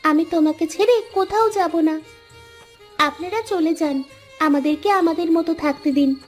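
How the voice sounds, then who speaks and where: Woman acting a young girl, very high pitch, earnest, pleading, devoted daughter, cartoon drama, music behind. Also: moderate pace. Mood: earnest, resolute. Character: pleading, loyal twelve-year-old village girl, devoted daughter.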